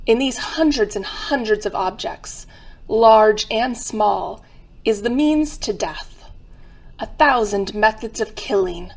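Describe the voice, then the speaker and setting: A woman narrating an old-time radio crime drama as a solemn, macabre museum guide, theatrical and deliberate. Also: a little high.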